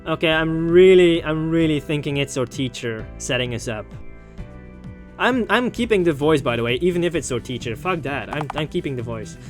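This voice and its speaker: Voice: mid-range voice, animated, normal speed, faint music behind. A theatrical video game host commenting while playing and reading a visual novel aloud. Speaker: gaming video host and narrator. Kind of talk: let's play commentary with character voices.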